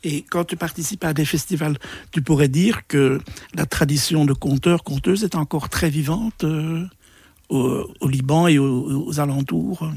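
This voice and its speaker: A man's voice: fairly high pitch, steady tone, measured pace, a little mellow, husky, no echo. A man interviewing a guest in a casual, conversational way, putting thoughtful questions with some hesitation.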